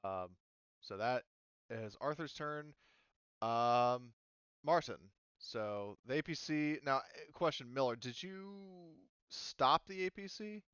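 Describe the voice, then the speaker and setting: A man running a tabletop roleplaying game as game master, casual and halting as he thinks aloud. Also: mid-range voice, fairly bright tone, clean tone, no echo, highs cut off.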